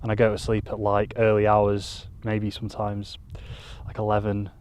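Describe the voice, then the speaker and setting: A man, talking to camera in a rambling, self-deprecating monotone on a hilltop, into a mic with a furry windscreen. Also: fairly low pitch, normal speed, smooth. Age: 20s. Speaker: YouTuber. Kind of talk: casual vlog talk to camera. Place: outdoors on a hilltop.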